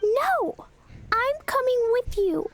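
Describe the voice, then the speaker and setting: A woman's voice: extremely high pitch, very animated, slow pace, loud music behind the voice, full character voice. A woman voicing a cheerful, naive little girl adventurer in a video game, with a childlike, earnest way of talking. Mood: earnest, determined.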